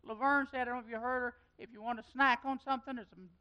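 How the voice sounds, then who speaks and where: A woman's voice: fairly high pitch, fairly even intonation, rapid-fire, muffled recording. A woman giving chatty, folksy announcements as pastor at a church meeting. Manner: chatty and folksy, with loose trailing phrases.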